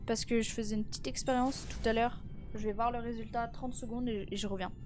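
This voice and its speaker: A woman's voice: on the high side, steady tone, fairly quick, music in the background. A teenage girl streaming live, chatting casually with viewers; she sounds tired and stuffy from a cold, with lots of fillers and restarts. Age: teens.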